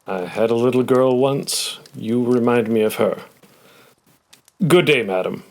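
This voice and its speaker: An actor playing a kindly, formal old gentleman in an audio play, with a gentle, measured delivery. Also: medium pitch, vocal fry. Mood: gracious, tender. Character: kindly, courteous old widowed gentleman, a wealthy neighbour.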